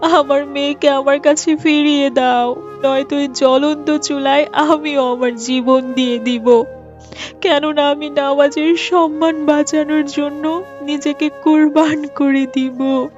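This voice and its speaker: A woman plays a devout mother in a moral cartoon. Her voice is high and imploring, earnest in every line. The sound is slightly muffled, with soft music behind. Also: fairly even intonation, a little slow. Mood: desperate pleading. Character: devout pleading wife and mother praying to God. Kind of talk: dubbed moral cartoon acting.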